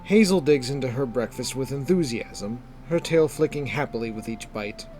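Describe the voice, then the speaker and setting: A man narrating a scripted fantasy story in a measured, descriptive storytelling manner. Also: mid-range voice, animated, darkish tone, soft background music.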